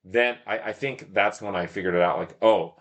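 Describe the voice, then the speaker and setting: A man with a fairly low-pitched voice is a guest in a casual podcast interview. He tells stories in a loose, rambling way, often thinking aloud and talking himself down a little. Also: moderate pace. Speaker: podcast guest, ultramarathon runner and shop owner. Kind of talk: casual interview talk, telling stories about racing.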